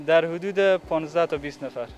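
Halting young man, fairly high voice. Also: faint music behind. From Afghanistan. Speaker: factory representative being interviewed. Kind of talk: formal interview answers. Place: large indoor factory hall. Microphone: handheld reporter microphone held toward him.

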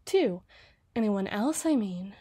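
A woman's voice: mid-range voice, lively intonation, warm tone, slightly rough. A woman playing a rich, possessive young heiress who is condescending and doting, with a haughty, teasing manner, in an ASMR roleplay. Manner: haughty, teasing, drawn-out words. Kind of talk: ASMR roleplay, scripted monologue.

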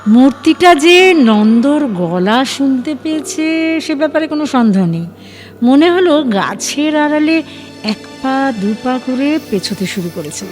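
A woman narrating an audio story in an expressive, dramatic scripted style that builds suspense. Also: high voice, a little slow, soft background music.

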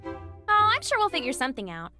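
A woman's voice: high voice, huge pitch swings, fast, music in the background. A woman playing a perky, eager, bubbly young woman in a video game. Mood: cheerful eagerness. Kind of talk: video game character acting.

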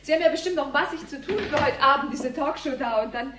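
A woman's voice: high voice, fast, background noise, close to an everyday voice. An actress playing a persistent, cheeky young woman with a hesitant, colloquial manner in a naturalistic radio play. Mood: nervous. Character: flustered, persistent, cheeky young woman seeking her father.

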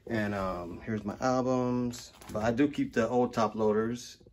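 Male vlogger, middle-aged, average pitch, informal slangy delivery, handheld home audio with faint noise. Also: measured pace, darkish tone. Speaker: vlogger giving a room tour. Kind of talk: casual video walkthrough chat. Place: carpeted room at home. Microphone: phone held in hand. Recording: noisy handheld home recording with clicks.

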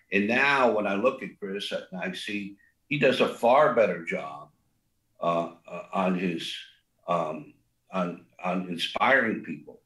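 A man's voice: low-pitched, a bit bright, roomy sound. An older man, a restaurant co-founder, talks in a rambling way with many fillers and restarts and a big voice. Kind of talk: casual interview talk.

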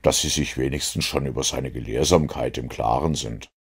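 A man voicing a cool, self-assured master detective in a measured, dry way for an audiobook. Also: a bass voice, gravelly, slightly rough, pitched below the performer's own voice, full character voice. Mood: cool, composed.

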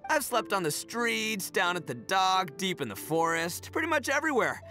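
A man's voice: high-pitched, sing-song, normal speed, bright, forceful tone, faint music behind, heavily acted. A man playing a carefree, boastful young oni gang boss in an English video game dub, with cocky, slangy, theatrical delivery. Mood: exuberant, boastful.

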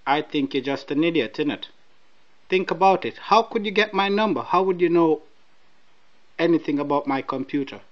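A Nigerian man with a Nigerian accent, bluntly and mockingly confronting a scam caller in slow, deliberate speech. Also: a little high, expressive, highs cut off. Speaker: person baiting a scam caller. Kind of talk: confrontational phone call.

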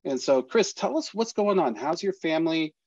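Rambling middle-aged host, dry dull mic. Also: male voice, fairly high pitch, animated, normal speed, a little mellow, slightly rough, no room sound. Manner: rambling, restarts, many fillers. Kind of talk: video call interview. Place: home studio with guitars. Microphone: studio mic with headphones.

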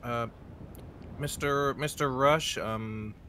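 A man roleplaying a judge in a mock courtroom, asking questions in a halting, filler-heavy way. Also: mid-range voice, sharp tone, slight background noise. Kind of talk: casual in-character courtroom roleplay.

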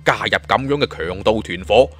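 A man narrating an adventure tale in as a theatrical, expressive audiobook storyteller. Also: fairly low pitch, moderate pace, faint music behind.

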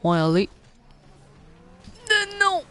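A young man with a Québécois French accent, live-streaming a car soccer game, chatting with viewers and reacting loudly to plays. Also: very high-pitched, drawn-out words. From Quebec. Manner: excitable, groans and repeats "non" at misses. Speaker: gaming streamer. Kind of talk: live gameplay commentary reading chat.

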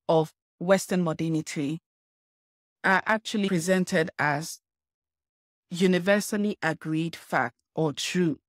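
A woman from Ghana, a scholar answering interview questions in a measured, explanatory way. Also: on the low side, no echo, clean studio sound. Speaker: guest scholar.

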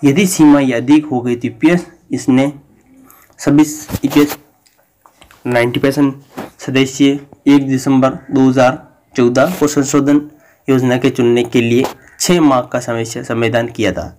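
A man hosting a news channel, reading a bulletin aloud in a flat, halting way. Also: medium pitch, a little hiss or hum.

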